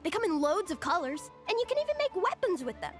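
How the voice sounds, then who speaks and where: A woman's voice: very high-pitched, big pitch swings, fast talker. A woman voicing a spirited, opinionated little village girl in a video game, childlike and emphatic, with music behind her. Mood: assertive.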